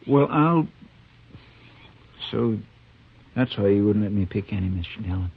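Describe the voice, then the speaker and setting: An earnest, folksy deputy, with a very raspy, somewhat low voice. The sound is thin and phone-like, like an old radio recording. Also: male voice, lively intonation, measured pace, exaggerated voice. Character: loyal deputy, man, plain and earnest.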